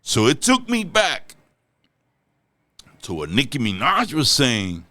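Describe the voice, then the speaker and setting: An older man from the Dominican Republic, a Spanish speaker with a Dominican accent, hosting a reaction channel in halting English that often repeats words. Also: medium pitch, huge pitch swings, sharp tone, no echo. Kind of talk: casual reaction vlog intro.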